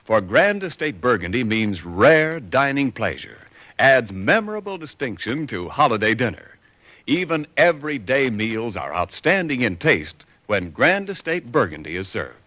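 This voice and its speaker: Man, American, polished 1940s radio pitchman, very lively intonation, bright, dry studio sound. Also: normal speed, dry recording. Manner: polished, promotional. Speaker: radio announcer. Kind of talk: 1940s radio sponsor announcing, scripted.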